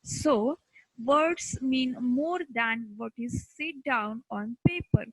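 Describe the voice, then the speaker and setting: A woman teacher with an Indian accent giving an instructional lecture in short, deliberate, emphatic phrases. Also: on the high side, dry recording, poor audio.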